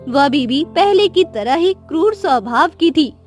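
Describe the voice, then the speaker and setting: A woman narrating a cartoon story in a steady, clear storytelling manner. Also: sits high, a little slow, soft background music.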